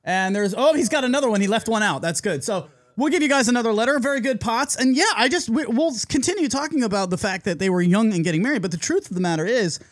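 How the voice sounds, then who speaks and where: Excitable man with a high, lively voice. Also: fast talker, hardly any pauses, no room sound. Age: 30s. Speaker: podcast host. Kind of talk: casual chat.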